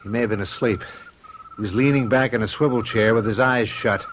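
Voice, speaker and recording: An actor playing an uneasy, wry husband in a radio drama, casual on the surface and a bit hesitant. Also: male voice, fairly low pitch, steady tone, brisk, natural voice. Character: uneasy, wry husband, privately afraid of touching people. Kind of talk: old-fashioned radio drama acting. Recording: noisy vintage radio drama tape.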